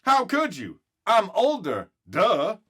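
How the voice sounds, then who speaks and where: A blunt, scornful boy from a children's book, played by a man. He speaks high and loud-edged with lively swings, dragging words out for emphasis. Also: slow, husky, full character voice. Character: blunt, scornful older brother, a young boy.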